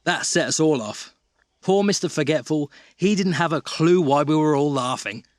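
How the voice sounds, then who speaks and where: Man as a chatty, higher-pitched cartoon chap. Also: normal speed, no room sound, clean studio sound, natural voice. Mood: fond, gushing. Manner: chatty, gushing. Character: friendly, eager male cartoon character reminiscing. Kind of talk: mock documentary interview in audio drama.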